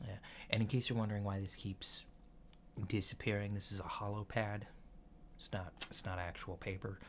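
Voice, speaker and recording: A man playing a polite, curious alien doctor in a soft-spoken ASMR roleplay, talking in a halting, matter-of-fact way. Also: fairly low pitch, level tone, lightly acted. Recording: lo-fi with clear background noise.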